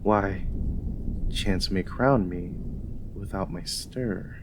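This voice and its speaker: A man playing an ambitious, brooding, commanding warlord in a declamatory, deliberate Shakespeare reading. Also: deep voice. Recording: noisy storm ambience behind.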